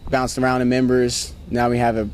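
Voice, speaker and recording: A man's voice: mid-range voice, monotone, a little fast. A nineteen-year-old guitarist chats in a laid-back, slangy way about his band. His voice sits in a middle register. Faint ambient noise sits behind him. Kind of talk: casual interview answers. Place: outdoors at a music venue.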